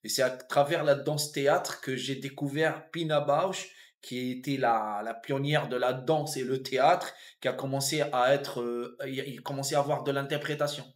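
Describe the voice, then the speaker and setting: A man in his fifties from Tunisia with a Tunisian accent, a comedian and actor telling his life story in a relaxed interview, thoughtful, with frequent restarts and fillers. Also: medium pitch, normal speed. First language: Arabic. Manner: reflective, repeats and restarts words, many fillers.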